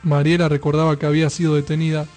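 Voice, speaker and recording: A man's voice: on the high side, flat delivery, fast talker, darkish tone. An Argentine man co-hosting a casual radio show and reading aloud from notes. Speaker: radio co-host. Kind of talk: casual radio talk, reading a short biography. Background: faint music.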